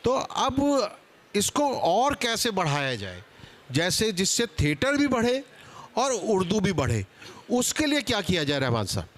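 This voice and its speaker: Higher-pitched man moderating a panel. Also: huge pitch swings, measured pace, a bit bright. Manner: polite, interjecting. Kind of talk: moderating a seminar discussion. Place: book fair seminar with a live panel.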